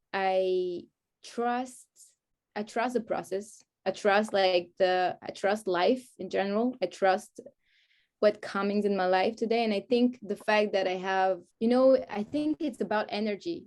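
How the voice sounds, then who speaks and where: A woman talks casually in an interview, with Hebrew as her language. Her voice sits at a medium pitch. She often pauses to find the right word as she explains herself. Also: a little mellow. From France (grew up in Paris), born in Israel. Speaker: guest, music artist.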